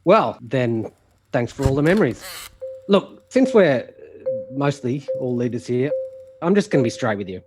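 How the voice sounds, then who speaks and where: A man's voice: mid-range voice, animated, moderate pace, over music, lightly acted, low-quality recording. A man playing an impatient, blustering chief executive in a comic musical audio drama. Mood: stiff, corporate. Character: exasperated, blustering male company chief executive.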